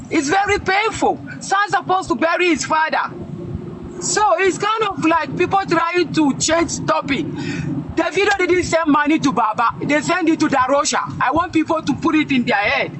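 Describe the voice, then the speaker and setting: Man, very high pitch, shouting, emphatic, faint background noise. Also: normal speed. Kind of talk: heated live-stream talk.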